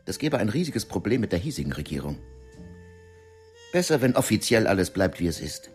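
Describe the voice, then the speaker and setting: An actor in a German radio drama playing a controlled, menacing interrogator, speaking in a measured, deliberate and coolly calculating way. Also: male voice, lowish voice, very animated, faint music behind.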